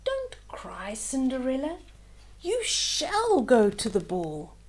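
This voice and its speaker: Casting: kindly magical godmother for a storybook, woman reader, mellow, unhurried, gently instructive. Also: high-pitched, huge pitch swings, heavily acted. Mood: soothing. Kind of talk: children's storybook read-aloud.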